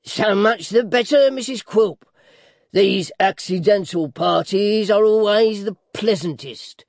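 A malicious storybook dwarf, pitched high and full of gleeful mockery. He lays on false courtesy and stretches his words to taunt. The sound is close and dry. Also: gravelly, dry recording, heavily acted. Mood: gleeful, mocking. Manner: mock-courteous, taunting, drawn-out emphatic phrasing. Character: grotesque malicious dwarf husband, gleefully mocking.

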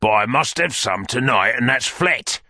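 A bullying Cockney crook for an audiobook. The voice growls with gravelly force, bitter and demanding, swinging widely in pitch. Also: male voice, lively intonation, moderate pace, bright, forceful tone, dry recording, studio quality, exaggerated voice. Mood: surly demanding. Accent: London Cockney. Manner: surly, demanding. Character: brutish, bitter, bullying male London criminal. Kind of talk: audiobook character acting.

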